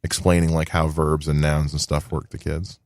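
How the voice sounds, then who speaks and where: A man chatting casually and telling comic anecdotes in a jokey way, quick to laugh. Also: rumbling low, little pitch movement, darkish tone, no echo, studio quality. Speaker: comedian guest on a radio show. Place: small dry studio. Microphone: studio microphone.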